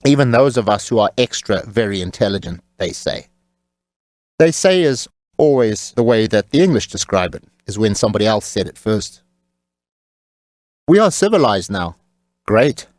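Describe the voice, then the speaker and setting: A man's voice: fairly low pitch, very animated, normal speed, a little mellow, no echo. Wry man narrating, dry studio sound. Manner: wry, tongue-in-cheek. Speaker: author narrating their own audiobook. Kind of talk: scripted audiobook narration.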